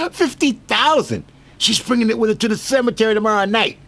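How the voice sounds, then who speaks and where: An actor playing a sinister, scheming doctor in a theatrical old-time radio drama style. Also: male voice, high voice, very animated, brisk, a bit bright, heavily acted. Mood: sly, gleeful. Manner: theatrical, melodramatic. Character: scheming crooked male doctor with a sinister calm.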